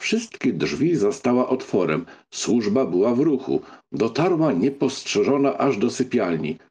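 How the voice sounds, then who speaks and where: A man narrating a literary audiobook in an expressive, scripted storytelling style. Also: medium pitch, measured pace, breathy edge.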